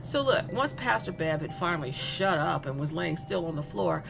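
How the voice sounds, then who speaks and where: A woman's voice: on the low side, animated, gravelly. A woman playing a gleeful, remorseless young killer in a horror audio story, chatty and flippant, stretching words for emphasis. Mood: gleeful, mocking. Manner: conversational, flippant, giggly, with drawn-out emphasis. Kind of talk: dramatic first-person monologue in a horror audio story. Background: music.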